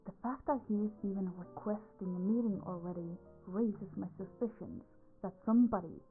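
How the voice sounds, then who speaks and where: A woman playing a possessive, teasing mafia boss in an intimate audio roleplay, commanding and often dropping toward breathy whispers. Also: mid-range voice, moderate pace, phone-quality sound, soft background music, lightly acted. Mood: cold, guarded.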